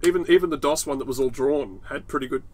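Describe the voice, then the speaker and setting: An Australian man co-hosting a casual podcast, teasing and sardonic, quick to laugh. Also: medium pitch. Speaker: podcast co-host. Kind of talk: casual chat.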